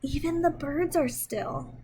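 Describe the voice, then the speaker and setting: A woman reading a picture book aloud to young children, expressive and simple in her delivery, with dramatic pauses and emphasis. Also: sits high, slight background noise. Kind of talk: children's read-aloud storytelling.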